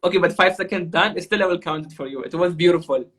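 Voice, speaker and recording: A man's voice: high-pitched, fast, fairly bright tone. A man hosting an interview, upbeat and guiding the segments. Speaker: talk show host.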